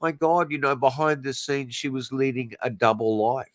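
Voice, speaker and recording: A man hosting a casual talk show, telling stories in a lively way. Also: medium pitch, moderate pace, dry recording. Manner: animated storytelling. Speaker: host. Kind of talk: casual chat.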